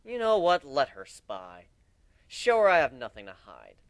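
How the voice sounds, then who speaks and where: A man's voice: fairly high pitch, wildly swooping pitch, normal speed, clear, resonant tone, dry recording. A man voicing a hot-headed, sulky young griffin in a dramatic story reading, sarcastic and informal. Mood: indignant, sulky. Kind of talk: dramatic reading of fan fiction.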